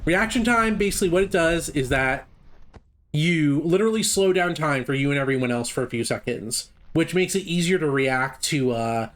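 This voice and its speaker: A man's voice: on the high side, animated, moderate pace, fairly bright tone. Chatty man commentating a speedrun. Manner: chatty, explanatory. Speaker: gameplay commentator. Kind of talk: casual live speedrun commentary.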